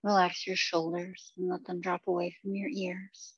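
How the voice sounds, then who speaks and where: A woman teaching meditation, leading a guided body scan with gentle, unhurried instructions and long pauses. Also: on the low side, completely flat delivery, husky, no room sound, muffled recording.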